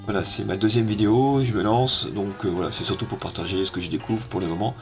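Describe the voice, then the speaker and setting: Hesitant gravelly man, low voice. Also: fast talker, over music. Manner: hesitant, many filler sounds. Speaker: tech YouTuber. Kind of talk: casual screen-recorded software tutorial. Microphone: amateur setup.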